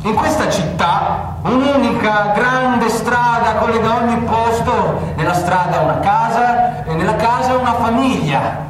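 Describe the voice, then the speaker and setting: An actor playing an eldest brother who broadcasts on amateur radio, declaiming in repetitive, incantatory announcements. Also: male voice, very high-pitched, a little slow, a crowd in the background. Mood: declamatory, insistent. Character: gruff eldest brother, amateur radio operator addressing the world. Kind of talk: theatrical monologue, radio-call announcing. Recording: very echoey stage recording, clear background noise.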